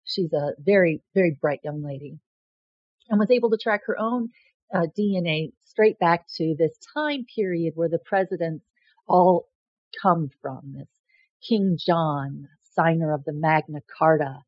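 A woman's voice: sits low, measured pace, no echo. A middle-aged woman hosting her own show, talking straight to the viewer in a rambling, casual way and often restarting her sentences. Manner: rambling with frequent restarts and repeated words. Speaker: host and self-described presidential candidate. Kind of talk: casual direct-to-camera monologue. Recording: dull lo-fi dry sound.

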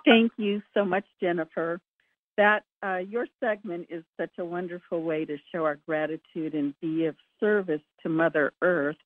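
A woman hosting a conference call with formal, measured, scripted-sounding announcements over a narrow phone line. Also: lowish voice, steady tone, no room sound. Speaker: call host. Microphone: telephone.

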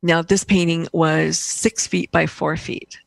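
A woman's voice: low-pitched, flat delivery, takes it slowly, vocal fry, dry recording. A woman from Austria, a guest on an interview show, tells stories casually and animatedly, acting out the dialogue as she goes. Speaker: guest, painter and coach.

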